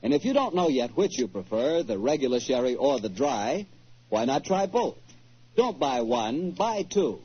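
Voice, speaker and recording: An American man announcing and pitching a sponsor in a folksy, conversational 1940s radio style, with a husky voice. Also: sing-song, normal speed. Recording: dull 1940s radio recording.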